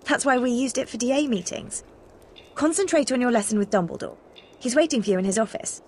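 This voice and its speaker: A woman's voice: a little high, lively intonation, fairly quick, darkish tone, a little hiss or hum. An actress with an English accent playing a sensible, sceptical teenage schoolgirl witch in a video game. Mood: reasoning, admonishing.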